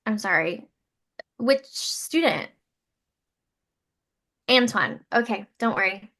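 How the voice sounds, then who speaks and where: An actress playing an eager, earnest young schoolteacher in a comedy table read, perky and animated. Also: female voice, mid-range voice, slow pace, exaggerated voice. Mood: helpful.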